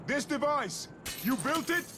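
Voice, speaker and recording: An actor playing an earnest, determined soldier in a dramatic video game, speaking tersely. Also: male voice, extremely high pitch, animated, a little slow, noisy background. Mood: earnest, questioning. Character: earnest, determined male soldier far from home. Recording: loud game music behind the voice.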